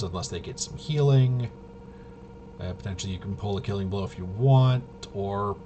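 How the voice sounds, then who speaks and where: A man casually rambling through tabletop game rules, haltingly, often trailing off and correcting himself. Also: on the low side. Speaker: host. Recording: clear steady background noise.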